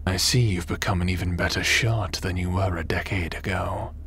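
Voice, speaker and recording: A man playing a smug, ruthless crime boss and sci-fi villain in an audio roleplay, taunting and theatrical in a deliberate delivery. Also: deep voice, fairly even intonation, fairly bright tone, slight background noise.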